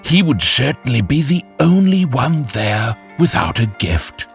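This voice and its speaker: A man narrating a scripted children's fairytale in an expressive, animated storytelling way. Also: mid-range voice, measured pace, vocal fry, soft background music.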